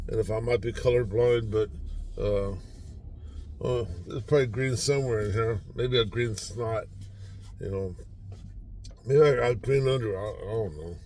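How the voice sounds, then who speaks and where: A man's voice: fairly low pitch, a little mellow, a little hiss or hum. An older man giving a casual, rambling motivational talk to camera from inside a parked car, folksy and self-deprecating, often repeating himself. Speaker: vlogger.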